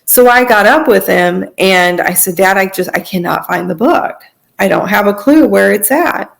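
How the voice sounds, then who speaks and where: A woman telling her personal story as a podcast guest, in a conversational, earnest and halting way. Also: medium pitch, expressive, creaky.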